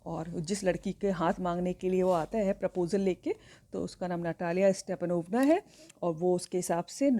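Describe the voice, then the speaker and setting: Indian woman teacher, explains each line to students, mellow and warm tone. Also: fairly low pitch. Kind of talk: classroom explanation of a play.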